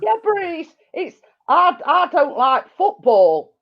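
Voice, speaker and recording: A woman's voice: very high voice, sing-song, slow. A woman hosting a casual chat with friends, giggling and stumbling over her words. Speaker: host. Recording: dull lo-fi sound.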